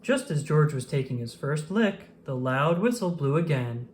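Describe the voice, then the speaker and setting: A woman reading a children's picture book aloud in an expressive storytelling manner. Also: low voice, normal speed, slight background noise. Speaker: storybook reader. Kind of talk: children's read-aloud narration.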